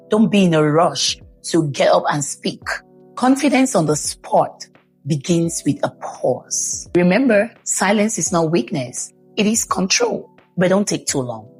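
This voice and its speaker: A woman with a low, husky voice coaches listeners on public speaking. She talks with emphasis and keeps coming back to her key points, with soft music playing behind her. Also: animated, measured pace. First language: English. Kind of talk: instructional talk.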